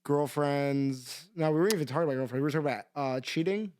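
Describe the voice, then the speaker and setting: A man's voice: a little high, fast talker, a little mellow. A tired young man co-hosting a podcast, chatting casually in a rambling way with lots of swearing and filler words. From Iran. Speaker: podcast co-host. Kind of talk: casual chat.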